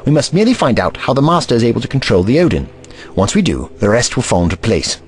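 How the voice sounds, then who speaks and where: A man's voice: mid-range voice, sing-song, quick pace, a little hiss or hum, natural voice. A man voicing a haughty, eccentric time-traveling scientist in an audio drama, pompous and lecturing.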